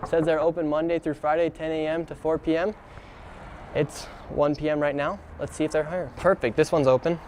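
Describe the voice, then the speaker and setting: A young man walking city streets on camera, reading out names and figures in a deadpan way. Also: a little high, a little fast, slight background noise. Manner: deadpan, reads figures aloud. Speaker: on-camera investigator. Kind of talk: walking documentary narration.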